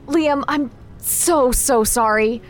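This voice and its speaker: An actress playing a caring but exacting magic trainer in a naturalistic audio drama, frank and instructive in manner. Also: female voice, high-pitched, takes it slowly, faint music behind. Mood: apologetic, alarmed. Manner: frank, instructive, gently concerned.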